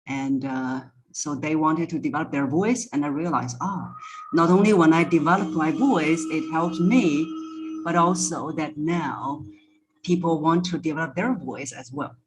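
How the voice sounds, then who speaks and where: A woman who works as a voice coach, answering interview questions conversationally with measured, hesitant phrasing. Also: low voice, noisy background. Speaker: voice coach and podcast guest.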